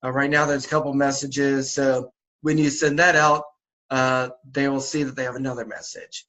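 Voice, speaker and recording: A man with a sore throat, sniffling a little, briefing volunteer youth basketball coaches over a video call in a relaxed, repetitive way with many fillers. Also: mid-range voice, normal speed, low-quality recording. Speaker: youth basketball league organizer.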